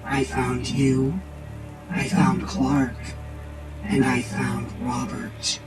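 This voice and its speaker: A man voicing the vengeful ghost of a drowned young girl, speaking slowly and hauntingly over music. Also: medium pitch, level tone, voice pushed up.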